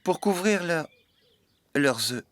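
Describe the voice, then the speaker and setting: A man's voice: a little high, expressive, slow pace. A man acting a good-natured, forgetful young farmhand in a French children's cartoon dub, with a sheepish, hesitant manner. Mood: sheepish, flustered.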